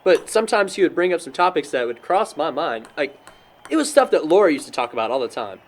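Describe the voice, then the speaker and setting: A man's voice: fairly high pitch, big pitch swings, quick pace. A man playing an easygoing young party host who jokes and gossips in an amateur audio drama. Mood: chatty.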